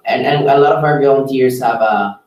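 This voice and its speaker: A man's voice: medium pitch, brisk, darkish tone. Man, call moderator, informal question-and-answer delivery, echoey room, distant microphone. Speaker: call moderator, staff member.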